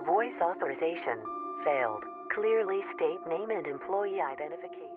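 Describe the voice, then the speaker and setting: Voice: medium pitch, expressive, moderate pace. A performer voicing an impersonal automated security system in a video game, clipped and procedural, through a radio-like filter. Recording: tinny radio-like band, loud music behind.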